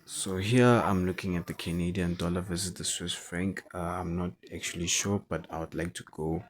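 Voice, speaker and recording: A man who is not a native English speaker, talking through forex charts in a halting way and repeating words as he goes. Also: low voice, fairly even intonation, a little hiss or hum. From South Africa. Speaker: forex trader. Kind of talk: chart analysis commentary.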